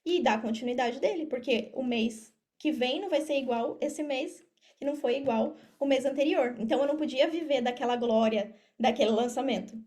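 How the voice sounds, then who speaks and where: A Brazilian woman with a clearly high voice speaks as a guest on a podcast. She explains things in a casual, conversational style, often addressing her listeners directly. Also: brisk, a little mellow. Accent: Brazilian Portuguese. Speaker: podcast guest, affiliate marketer and mentor. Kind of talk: casual interview talk.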